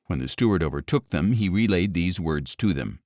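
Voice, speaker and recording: A man with a fairly low voice narrates scripture from a script. His delivery is measured and solemn, with expressive dialogue, and the sound is dry, free of room echo. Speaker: audiobook narrator.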